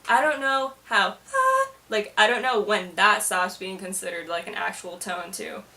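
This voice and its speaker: A woman's voice: mid-range voice, lively intonation, moderate pace, vocal fry, sharp tone, far from the mic. A young woman talking casually and confessionally to camera, in a hesitant, self-deprecating, informal way. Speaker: vlogger.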